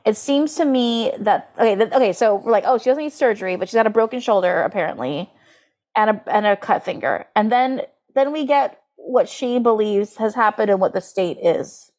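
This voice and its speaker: A woman's voice: medium pitch, lively intonation, brisk, a little mellow. A woman co-hosting a podcast in casual comedic chat, talking informally and incredulously, sometimes reading aloud with drama. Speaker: podcast co-host.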